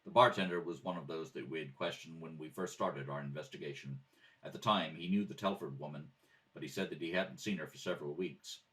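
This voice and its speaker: A man reading scripted police procedural narration in a clipped, matter-of-fact, deadpan way. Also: rumbling low, fairly quick, faint music behind. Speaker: amateur radio theatre reader. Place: at a kitchen table. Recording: slightly distant home microphone.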